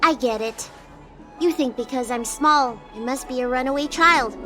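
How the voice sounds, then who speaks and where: A woman's voice: sits high, moderate pace, over music, close to an everyday voice. A woman voicing a small, childlike female doctor in a video game, precocious, self-assured and easily exasperated. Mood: matter-of-fact, proud.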